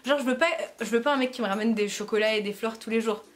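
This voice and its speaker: A woman's voice: on the high side, quick pace. A young woman from France chatting casually to her camera at home, playful and self-mocking, often breaking into laughter. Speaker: YouTuber. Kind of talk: casual vlog chat to camera.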